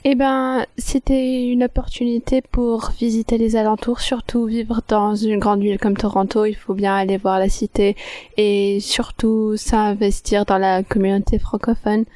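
A teenage girl, a high school intern, answering interview questions earnestly, speeding up in quick bursts. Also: level tone, warm tone, no echo. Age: teens.

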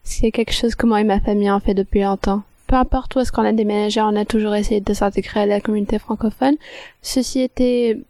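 A teenage girl, a high school intern, answering interview questions earnestly, speeding up in quick bursts. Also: fairly even intonation, mellow, no echo. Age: teens.

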